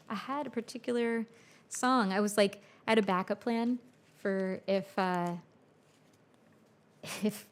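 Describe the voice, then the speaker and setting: A woman's voice: medium pitch, darkish tone. A young woman hosting a music livestream from her home studio, chatting casually with viewers and answering their chat, now and then in Spanish.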